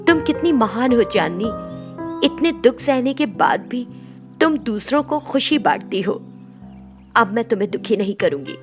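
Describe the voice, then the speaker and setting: A woman's voice: a little high, lively intonation, normal speed, music in the background. A woman playing a kind, encouraging film actress who speaks to others as a caring employer. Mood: moved, apologetic.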